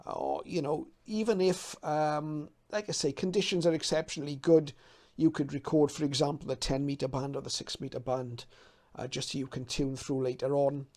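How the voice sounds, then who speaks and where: A man's voice: a little high, moderate pace, a little mellow. A man in his fifties from the UK talking casually to camera as a hobby channel host, explaining things a little hesitantly as he thinks aloud. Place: small cluttered home radio room.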